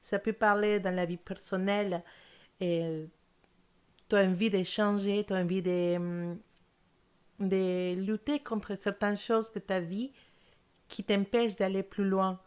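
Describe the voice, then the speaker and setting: A woman's voice: mid-range voice, level tone, takes it slowly, darkish tone, clean tone. Earnest woman, French not her first language. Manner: earnest, hesitant, many fillers and restarts. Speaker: tarot reader. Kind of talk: monthly horoscope tarot reading.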